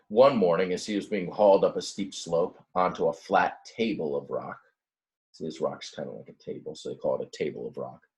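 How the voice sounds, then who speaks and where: A man with a fairly low voice reads a children's story aloud. He narrates expressively, in the way of a teacher. His explanations are simple and casual. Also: darkish tone. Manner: explains words simply in plain asides.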